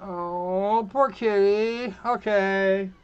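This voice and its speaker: A man hosting a casual game live stream, talking in a rambling, halting way and repeating himself as he goes. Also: high-pitched, steady tone, clear, resonant tone. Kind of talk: casual live-stream commentary while setting up a visual novel. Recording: dull lo-fi mic with music behind. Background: background music.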